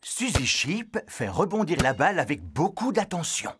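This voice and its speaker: A man's voice: on the high side, big pitch swings, moderate pace, background noise. A man narrating a children's cartoon as a friendly, matter-of-fact storyteller.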